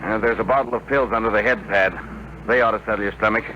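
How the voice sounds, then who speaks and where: An actor playing an unflappable, resolute rocket commander with clipped orders, in a 1950s radio drama style on a noisy old broadcast. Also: male voice, on the low side, steady tone, fast talker, bright, forceful tone, highs cut off. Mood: calm, instructive.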